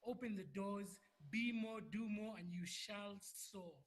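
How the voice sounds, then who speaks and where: High-voiced man giving a rousing speech. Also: steady tone, measured pace. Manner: rousing, motivational phrasing. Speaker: award winner. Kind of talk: acceptance speech.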